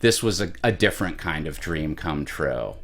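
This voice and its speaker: A young man, an actor, answering interview questions in an earnest, measured way with some restarts. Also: rumbling low, animated. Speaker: actor guest.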